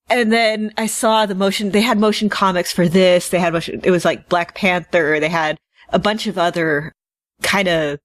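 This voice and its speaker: A woman's voice: medium pitch, no room sound. A woman co-hosting a podcast in casual conversation. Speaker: podcast co-host. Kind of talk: casual chat.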